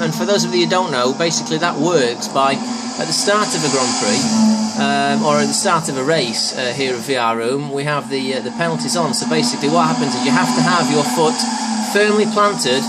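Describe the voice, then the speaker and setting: Male host calling a sim race with a sore throat, chatty and lively, a bit high, over heavy background ambience. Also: heavy background noise. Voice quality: slightly hoarse. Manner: chatty, rising excitement on the action. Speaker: race commentator. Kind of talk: sim racing commentary.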